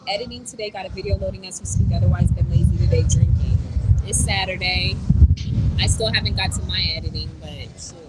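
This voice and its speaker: A woman's voice: fairly low pitch, fast. Chatty young woman, blunt and noisy. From United States. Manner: chatty and blunt, mimics others in quotes, swears. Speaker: vlogger reading live chat. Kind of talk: casual live-stream chat. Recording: noisy with passing vehicles.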